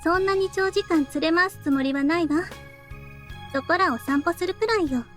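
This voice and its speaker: Girlish young anime game heroine, very high and quick, music behind. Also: a bit bright. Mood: soft, composed. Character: girlish young female anime visual novel character. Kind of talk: Japanese visual novel voice acting.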